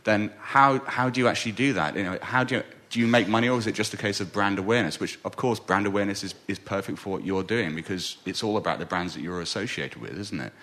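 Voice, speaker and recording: A man on a conference panel explains his point in a quick, earnest rush, restarting phrases as he goes. His voice sits on the low side. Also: pushed and bright. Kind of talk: panel discussion.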